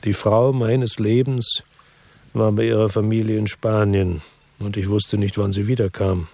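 Low, husky ex-cop narrator,, calm reflective radio drama telling. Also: male voice, unhurried, no echo. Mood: weary. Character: world-weary ex-cop, middle-aged man, lives by the sea.

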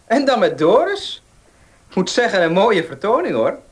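Casting: cocksure young rascal in radio drama, teasing, highly animated delivery. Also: male voice, normal speed, breathy edge, full character voice. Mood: mocking, teasing. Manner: teasing, cocky. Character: cheeky, cocksure young nephew, teasing his rich aunt. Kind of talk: Dutch radio play acting.

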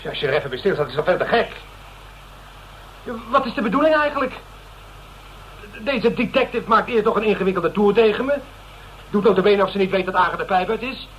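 Suspicious touchy man, Netherlands Dutch accent, fairly high voice, blustering and hesitant, noisy old radio play sound. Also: a bit bright. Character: suspicious, touchy man receiving late-night visitors. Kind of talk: radio drama acting. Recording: muffled, noisy radio recording.

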